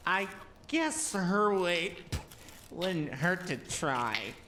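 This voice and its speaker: A woman's voice: on the low side, lively intonation, drawn-out words, background noise. A woman playing a stubborn, cheeky young man in an audio folktale, polite but cocky in manner. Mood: doubtful. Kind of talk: audio drama folktale acting.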